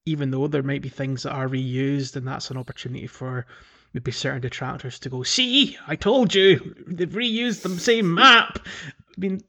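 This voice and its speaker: A man's voice: medium pitch, normal speed, hardly any pauses, pushed and bright. A man co-hosting a podcast in casual chat, talking in a discursive, self-qualifying way. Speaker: podcast host.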